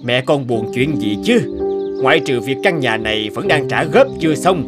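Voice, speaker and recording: An actor playing a weary, gruff man near sixty, a curt workaholic husband and father in an animated drama. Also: mid-range voice, normal speed, loud music behind the voice, lightly acted. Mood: irritated.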